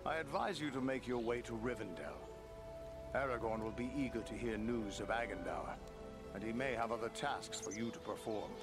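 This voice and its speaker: A man playing a dependable ranger captain in a fantasy game, speaking in a grave, measured, formal manner. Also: mid-range voice, close to an everyday voice. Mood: grave counsel. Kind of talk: fantasy video game cutscene acting. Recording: music underneath.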